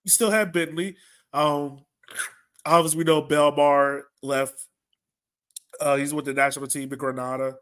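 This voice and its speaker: A man with a slightly high voice hosts a sports podcast. He chats casually as a fan, with a rueful, self-deprecating way of putting things. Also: little pitch movement, normal speed, pauses often, a bit bright. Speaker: podcast host.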